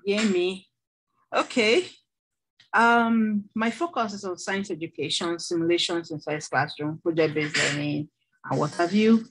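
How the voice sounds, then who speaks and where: Woman grad student, chatty, self-introduction, mid-low voice, video call audio. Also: a little slow, breathy edge, low-quality recording. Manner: chatty, laughing, with restarts. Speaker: doctoral student. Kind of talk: online class self-introduction.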